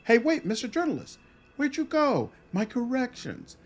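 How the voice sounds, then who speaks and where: A man voicing a fussy, earnest woman scholar in a high, indignant voice. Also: huge pitch swings, normal speed, heavily acted.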